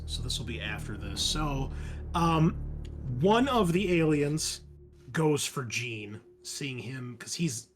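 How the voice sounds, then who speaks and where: A man acts as game master for a tabletop role-playing session. He talks conversationally, working out rulings aloud as he narrates the action. His pitch is average, and faint music plays beneath his voice. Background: game background music.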